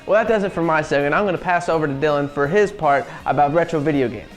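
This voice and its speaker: A man's voice: fairly high pitch, fast. A man co-hosting a semi-scripted video review in a sardonic way, as commentary. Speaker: co-host. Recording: music behind the voice.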